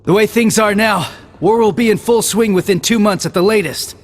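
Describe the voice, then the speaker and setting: A man voicing a laid-back, sharp-minded young man in a video game. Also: sits high, normal speed. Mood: serious. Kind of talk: English video game voice acting.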